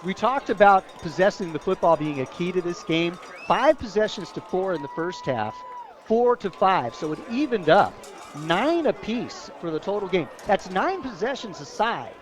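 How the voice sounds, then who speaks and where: A man's voice: sits high, big pitch swings, normal speed. An American man giving enthusiastic, conversational color commentary on live football radio. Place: outdoor football stadium. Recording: stadium crowd behind, outdoor game broadcast. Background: stadium crowd.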